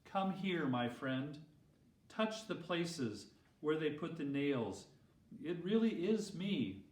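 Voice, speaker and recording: A man voicing a gentle, patient, reassuring male teacher in a children's Bible story, explaining kindly. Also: fairly high pitch, sing-song, slow pace. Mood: welcoming, gentle. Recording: roomy echo, distant microphone.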